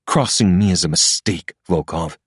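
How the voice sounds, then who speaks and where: A man's voice: low-pitched, normal speed, slightly rough, dry recording, studio quality. A man voicing a smug, pompous young man who sneers and blusters at his rival. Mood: sneering. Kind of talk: audiobook character voice.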